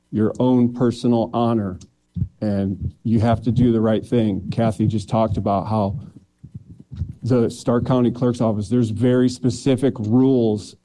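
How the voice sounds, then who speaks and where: Plainspoken male candidate at a public forum, deep dark tone, sincere with a dry joke now and then. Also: rough recording. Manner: earnest and plainspoken, with light joking. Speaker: county clerk candidate.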